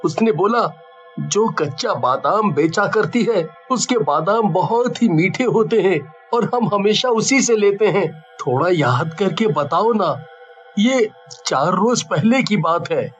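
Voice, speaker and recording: High-pitched, earnest young man played by an actor. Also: animated, slow, dull audio, soft background music. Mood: worried, pleading. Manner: earnest, dramatic. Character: worried, determined young man seeking his missing brother. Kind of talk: cartoon horror story acting.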